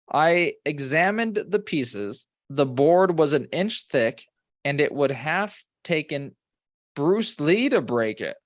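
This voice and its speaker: A man's voice: a little high, lively intonation, slow pace, no room sound, clean studio sound. A man co-hosting a comedy podcast, reading ghost stories aloud with wry, bantering asides. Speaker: podcast co-host.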